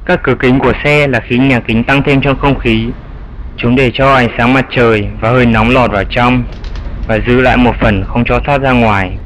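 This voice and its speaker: Man playing elderly grandfatherly bat, mid-pitched, patient teacher, educational cartoon dub, faint noise behind. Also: natural voice, low-quality recording. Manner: explanatory, patient. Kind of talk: dubbed educational cartoon dialogue.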